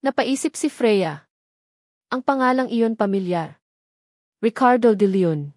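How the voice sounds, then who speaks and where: A woman's voice: fairly high pitch, lively intonation, unhurried, darkish tone, no echo, clean studio sound. A woman narrating a scripted dramatic story.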